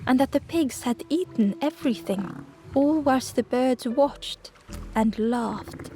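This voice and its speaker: Woman reading a storybook game narration, mellow soft tone, music under it. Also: high-pitched, slow. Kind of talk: gentle scripted storybook narration of a video game.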